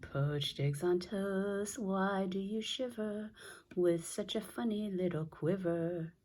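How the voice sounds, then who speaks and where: A woman's voice: mid-range voice, takes it slowly, darkish tone, pitched above the performer's own voice. A chatty little girl from a storybook, as a woman reader acts her out. She is fussy and fond of jam, speaking in a childlike, particular way. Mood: singsong. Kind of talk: children's storybook read-aloud.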